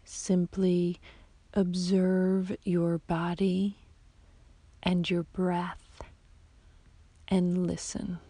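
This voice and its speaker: A woman guiding a sleep meditation as a yoga nidra teacher, in a soft, whispery, soothing voice with long, unhurried pauses. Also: lowish voice, little pitch movement, slightly rough, no room sound. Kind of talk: slow guided sleep meditation.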